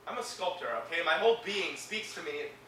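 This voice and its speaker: An actor playing a bitter, sarcastic hospital patient, a paralysed sculptor, middle-aged. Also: male voice, sits high, lively intonation, fairly quick, bright, forceful tone, slight background noise. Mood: bitter, angry. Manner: sarcastic, biting. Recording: roomy film sound from a distance.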